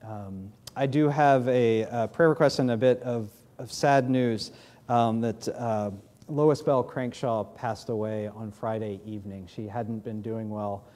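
Pastor, 30s man, New England accent, mellow tone, many ums, pulpit mic in big sanctuary. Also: medium pitch, fairly even intonation. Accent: New England American. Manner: halting, many fillers, friendly. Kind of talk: church announcements and prayer. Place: large church sanctuary, in doors. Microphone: podium mic.

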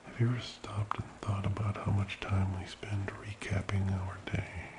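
A man speaking very softly as an ASMR host, slow and soothing, often slipping into a whisper in a creaky murmur. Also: lowish voice, completely flat delivery, noisy background. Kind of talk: soft-spoken ASMR personal attention, slow guided relaxation.

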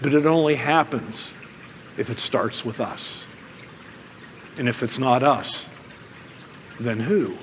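A middle-aged American man, a pastor preaching a sermon in deliberate, emphatic phrases with pauses. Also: mid-range voice, lively intonation, a bit bright. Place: church room with stained glass windows, indoors. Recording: long hall reverb, some background noise.

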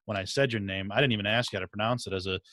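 A middle-aged man with a Midwestern accent hosts a podcast chat from a small home studio. His voice sits on the low side and sounds dry and close to the mic. He talks in a friendly way, often restarting his sentences. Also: steady tone, racing, fairly bright tone, no room sound. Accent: Midwestern American. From Michigan. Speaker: podcast host. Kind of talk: casual interview chat. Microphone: headset with studio microphone.

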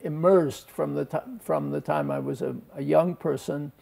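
A man in his sixties speaking as a talk show guest in a thoughtful, halting way, often restarting his words. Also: fairly high pitch, fairly even intonation, gravelly, mellow. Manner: halting, hesitant, with repeated word starts. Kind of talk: thoughtful interview conversation. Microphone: lapel.

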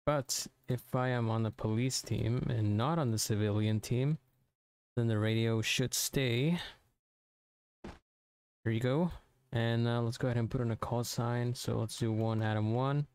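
Clear-voiced man giving a casual tutorial. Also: medium pitch, steady tone, unhurried, darkish tone. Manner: informal, thinking aloud step by step. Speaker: tutorial presenter. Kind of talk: casual software tutorial narration.

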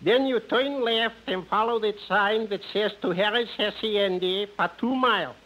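A man's voice: sits high, normal speed, fairly bright tone, highs cut off, slight background noise. An actor playing a genial, wisecracking middle-aged man with a singsong comic delivery in a 1950s radio comedy. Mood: wry, genial. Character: genial, wisecracking resort guest Mister Kitzel. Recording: vintage 1950s radio broadcast.

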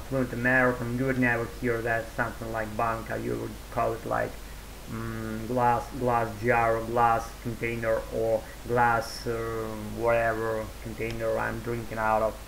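A man's voice: mid-range voice, creaky, fairly bright tone, slight background noise, poor audio. A man in his forties who is a native Russian speaker, talking in a rambling casual vlog to his webcam at home, full of fillers and self-corrections, with a sore throat. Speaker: vlogger. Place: small room at home, indoors. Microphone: webcam at desk.

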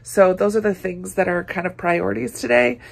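A woman's voice: mid-range voice, moderate pace, gravelly, slightly rough. A woman vlogger chatting casually and informally to camera about her day, in an easygoing way. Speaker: book vlogger, host. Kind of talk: casual weekend vlog chat to camera.

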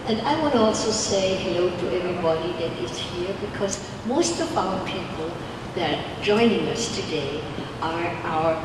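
Older woman, host type, rambling welcome speech, booming hall reverb, distant PA sound, steady machine hum. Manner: rambling, warm-hearted, repeats and restarts words. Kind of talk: informal welcome speech into a handheld mic. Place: large winery production hall with steel equipment, indoors. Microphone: handheld microphone through a PA. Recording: echoey hall heard from far off through a PA.

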